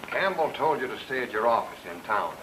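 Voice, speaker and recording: An actor playing a stern, suspicious police chief who talks brusquely and accusingly in 1940s noir style. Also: male voice, mid-range voice, big pitch swings, fairly quick, close to an everyday voice. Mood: stern, sarcastic. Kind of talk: 1940s film noir dialogue. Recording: old film soundtrack with faint noise.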